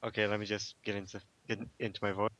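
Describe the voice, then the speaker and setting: A man's voice: on the low side, level tone, fast, a bit bright, no room sound, dull audio. A man chatting casually and hesitantly among a podcast group. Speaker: podcast member.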